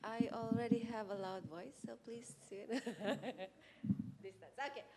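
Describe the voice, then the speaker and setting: A woman's voice: fairly high pitch, mellow, slight background noise. Earnest woman giving a church testimony. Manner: earnest, halting, with frequent restarts. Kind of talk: spoken testimony at a church service.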